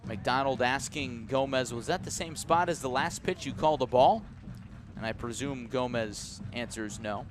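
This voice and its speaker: A man calling live baseball play-by-play for the radio in a measured, descriptive way. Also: mid-range voice, a little hiss or hum. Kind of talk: live radio sports commentary.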